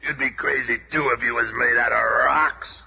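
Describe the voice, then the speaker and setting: Cranky stone-bodied brawler, male actor: brash, streetwise, gravelly, radio drama style, dull lo-fi sound. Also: mid-range voice, steady tone, moderate pace, close to an everyday voice. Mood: grumbling. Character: gruff, cranky, wisecracking rock-bodied brawler hero. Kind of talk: 1970s radio drama acting. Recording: noisy, muffled old radio recording.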